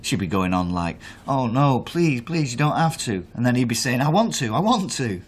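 A man's voice: mid-range voice, big pitch swings, normal speed. An actor playing an aggrieved man recounting events under questioning in a naturalistic radio drama, emphatic and asking himself questions. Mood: agitated, bitter. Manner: emphatic, self-questioning storytelling.

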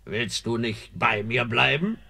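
An actor playing a greedy, blustering old male sea monster in a fairy-tale radio play, with a gravelly, husky voice and a drawling delivery broken by booming outbursts. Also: slow, pushed and bright, full character voice. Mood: charmed.

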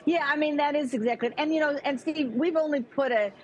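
A middle-aged woman economist speaking as a guest in a live TV interview over a remote video link, talking quickly with frequent restarts and repeated words. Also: high-pitched, highs cut off, slight background noise. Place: home room with plant.

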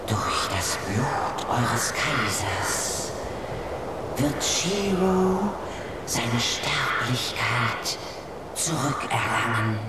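An actress voicing a solemn, grave spirit guide in a fantasy game, in a whispery voice. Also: female voice, rumbling low, animated, very slow, roomy sound, distant mic, very noisy, heavily acted.

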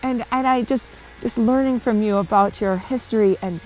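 A woman's voice: a little high, normal speed, a little mellow, soft background music, poor audio. A woman host in her thirties, introducing and interviewing outdoors on a ski chairlift, upbeat and friendly. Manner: upbeat, laughs often, friendly. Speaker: host, former ski racer. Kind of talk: presenter intro and casual interview.

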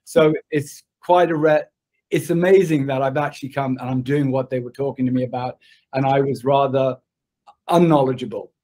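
A man's voice: medium pitch, steady tone, moderate pace, warm tone. A British man in his sixties, raised in Zimbabwe, talking conversationally as an interview guest on a video call from an office. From born in England, grew up in Zimbabwe. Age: 60s. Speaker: interview guest, production designer. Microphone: computer mic at desk distance on a video call.

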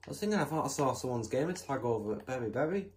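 A chatty male host talking through a building session in a game, with a lively voice that jumps around in pitch. He rambles, circles back with fillers and restarts, and sometimes breaks into excited high yelps. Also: very fast, slight background noise. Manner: bursts into excited high exclamations. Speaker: gameplay channel host. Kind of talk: casual game commentary while building.

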